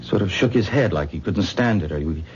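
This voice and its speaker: An actor playing a troubled young man who tells of a strange dream in a hushed, hesitant way in a 1970s radio drama. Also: deep voice, animated, fairly quick. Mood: uneasy. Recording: dull old radio broadcast with faint hiss.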